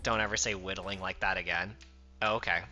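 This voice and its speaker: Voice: low voice, steady tone, fairly quick, sharp tone, soft background music. A streamer with a light Southern US accent reading game text aloud and chatting between lines, laughing often.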